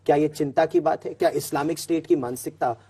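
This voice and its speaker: A man questioning a guest formally on a news panel. His voice is mellow and husky, set slightly high, and his questions are challenging. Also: steady tone, fast talker. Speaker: interviewer on a panel.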